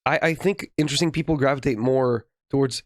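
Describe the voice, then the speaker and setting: A young man with a mid-pitched voice speaks in a very dry recording. He is a podcast co-host, thinking out loud casually and haltingly as he builds an argument. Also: dry recording. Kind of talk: casual philosophical discussion.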